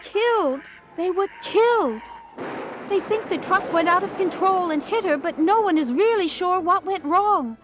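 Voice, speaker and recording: An actress playing a chatty, fussy country housekeeper and cook in an audio drama. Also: female voice, extremely high pitch, expressive, music in the background. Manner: chatty, then indignant and hurried. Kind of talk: radio drama acting.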